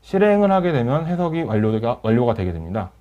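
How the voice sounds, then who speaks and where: A man narrating a software tutorial as a webinar instructor, explaining each step in a polite, formal way. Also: medium pitch, very animated, fast talker. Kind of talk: software tutorial narration over screen demo. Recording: dull lo-fi voice recording.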